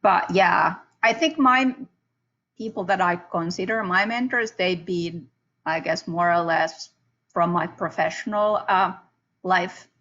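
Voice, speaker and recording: A woman from Finland, speaking on a panel in a halting way with many fillers and restarts. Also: on the low side, a bit bright, highs cut off. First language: Finnish. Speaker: panelist, PhD student. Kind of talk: casual panel discussion.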